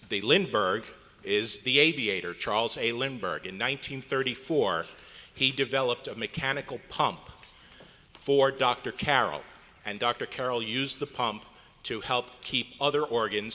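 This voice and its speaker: A man's voice: medium pitch, pushed and bright. A man giving an introductory talk to a live audience over a microphone. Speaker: club member introducing a radio play recreation. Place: hotel convention meeting room, indoors. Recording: echoey hotel meeting hall, noisy background.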